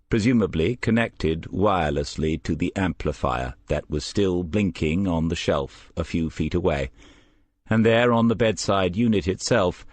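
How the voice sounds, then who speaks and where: A man's voice: sits low, a little mellow, dry recording. A man reading a crime story as scripted audiobook narration.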